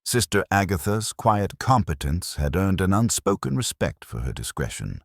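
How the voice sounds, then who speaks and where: A man reading a scripted mystery story as a narrator, in a measured, formal way. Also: sits low, sing-song, no echo, clean studio sound. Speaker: audiobook narrator.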